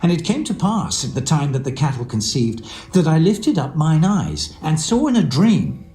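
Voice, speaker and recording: An actor playing an earnest, firm, hard-working shepherd, declaiming in a dramatised scripture reading. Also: male voice, fairly high pitch, huge pitch swings, moderate pace, dark tone. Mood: aggrieved, solemn. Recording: roomy echo, faint music behind. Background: faint guitar music.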